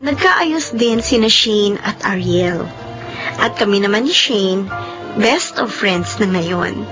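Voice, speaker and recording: An actress with a Filipino accent playing a kind, forgiving young heroine in a radio drama, speaking in a gentle, heartfelt way. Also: female voice, medium pitch, animated, takes it slowly, music in the background, low-quality recording. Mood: hopeful, reflective. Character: kind, forgiving young heroine narrating her life story.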